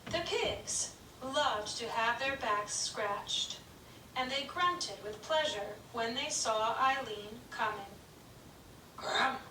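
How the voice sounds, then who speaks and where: A woman narrating a children's puppet show story in a slow, gentle, clearly paced reading. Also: on the high side, a little hiss or hum. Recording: echoey room, distant mic.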